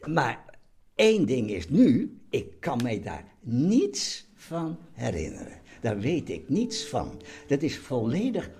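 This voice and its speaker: Elderly man, very animated pitch. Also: slow pace. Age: 80s. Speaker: host's father, interviewee. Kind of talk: casual conversation.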